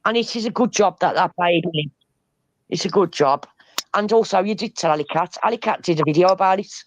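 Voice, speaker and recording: A woman's voice: on the low side, fairly quick, no room sound, dull audio. A woman in a heated argument, talking in an insistent, repetitive way.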